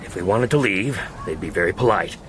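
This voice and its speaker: An actor playing a shrewd, folksy fixer and spymaster, in a radio drama. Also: male voice, deep voice, lively intonation, brisk, noisy background. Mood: wry.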